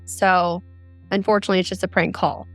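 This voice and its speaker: A woman's voice: lowish voice, level tone, a little fast, faint music behind. A middle-aged woman telling her own story as an interview guest. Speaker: interview guest, mother recounting a scam. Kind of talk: recounting a personal experience in an interview.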